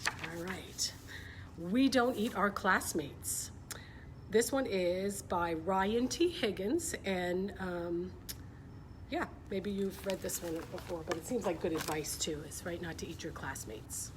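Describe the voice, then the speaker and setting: Chatty woman over clear background noise. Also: lowish voice, animated, takes it slowly. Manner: chatty, informal, often checking in with young listeners. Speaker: coach hosting a children's story time. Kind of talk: casual livestream chat and picture-book read-aloud.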